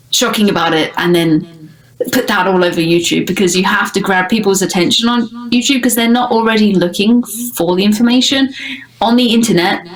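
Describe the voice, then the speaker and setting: A woman's voice: medium pitch, low-quality recording. A woman, a guest in a casual interview who talks candidly and laughs often. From United Kingdom. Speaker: guest, cruise YouTuber.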